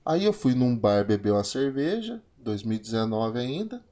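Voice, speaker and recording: Brazilian man, forties, motivational talk host, repetitive and emphatic, mid pitch. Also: very animated, normal speed, dark tone. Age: 40s. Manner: emphatic, repetitive, rhetorical questions. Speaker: trading course host. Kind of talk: motivational monologue.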